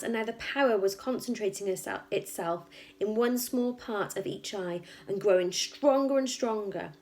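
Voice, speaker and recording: A woman reading a children's story aloud like a teacher, with expressive, emphatic and suspenseful narration. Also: a little high.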